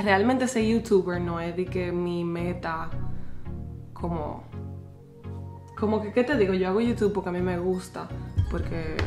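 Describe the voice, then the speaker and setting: A young woman in her twenties chatting casually to a camera held at arm's length, slipping English phrases into her talk. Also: on the low side. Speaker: vlogger. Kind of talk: casual vlog chat. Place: bedroom, restaurant and car.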